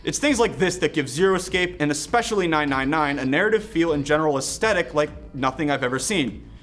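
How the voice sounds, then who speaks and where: A man with a slightly high voice delivers a scripted game review with clear enthusiasm. Soft music plays behind him. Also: animated, fast talker. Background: background music.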